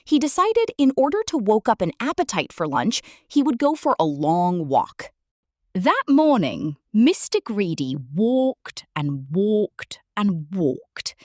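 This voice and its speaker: A woman narrates a children's storybook with a very lively, swooping delivery. She takes her time and leans on the descriptive words. The sound is close and dry. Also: medium pitch, slow pace, dry recording. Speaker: storyteller reading a children's book aloud. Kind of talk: expressive scripted storybook narration.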